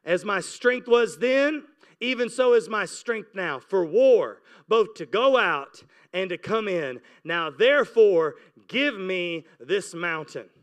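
A man's voice: high-pitched, animated, slow pace. A man in his forties, a pastor preaching with impassioned, repeated urging and scripture reading into a handheld mic. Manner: exhorting, repetitive urging. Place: church stage, indoors. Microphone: handheld microphone held close.

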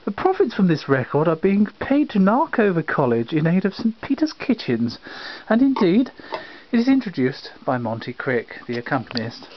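A man's voice: sits high, wildly swooping pitch, moderate pace. Guy doing a posh old-broadcast style intro, really bouncy voice, kinda muffled and hissy. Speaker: channel host.

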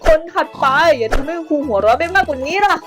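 A performer with a high, youthful voice voicing a short-tempered, bossy cartoon schoolteacher who is always hungry, scolding the class in a fan dub. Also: expressive, fast talker. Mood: irritated. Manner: scolding, barking orders at the class. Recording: dub over loud background music. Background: music.

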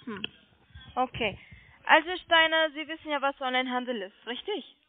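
A woman with a high-pitched voice makes a sales call. She is polite but persistent, coaxing the listener with questions. The sound is a dry, narrow telephone line. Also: normal speed, no echo. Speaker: telemarketing caller selling online trading. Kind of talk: sales pitch over the phone. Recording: muffled phone line.

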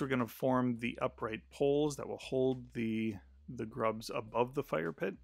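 Guy doing a craft how-to voiceover, gravelly, lots of uhs, super dry sound. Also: medium pitch, no room sound. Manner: plain explanatory voice-over with frequent "uh" fillers. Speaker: crafting tutorial host. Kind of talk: step-by-step craft narration.

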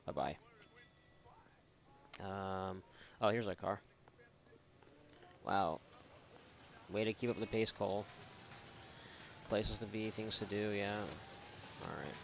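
A man's voice: on the low side, slow, gravelly, pauses often. A man giving casual, rambling and sarcastic let's play commentary, swearing freely as he goes. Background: video game ambience.

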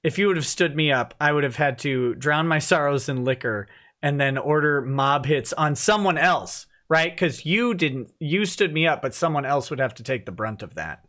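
A man's voice: on the high side, expressive, moderate pace, a bit bright. A man in his thirties hosting a live stream from a home studio, chatty and laughing often, reading viewer comments aloud into a headset mic. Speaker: host of a live stream show. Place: home office studio, indoors. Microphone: headset with boom mic.

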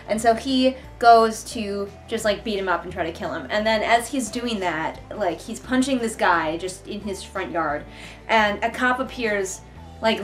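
A young woman giving casual book commentary in a sarcastic, exasperated manner, recorded indoors a little way from the camera. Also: a little high. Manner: sarcastic, exasperated, mildly sweary. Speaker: vlogger reviewing a book. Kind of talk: casual read-along commentary. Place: living room couch, indoors.

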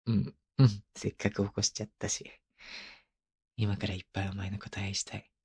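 A man playing a tender, clingy, teasing young husband in an intimate ASMR voice drama, speaking softly and playfully close to the microphone. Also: fairly low pitch, completely flat delivery, fairly quick. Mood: coaxing, intimate. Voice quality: soft, breathy. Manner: murmuring, playful, coaxing. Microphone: close microphone, binaural.

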